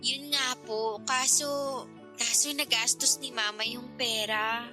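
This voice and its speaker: A young niece in a radio drama, her voice high and girlish. She is hesitant, deferential and worried, pleading softly with an elder over prominent background music. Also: fairly even intonation, measured pace. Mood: hesitant, ashamed. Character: apologetic young niece, fresh senior high graduate, worried and respectful.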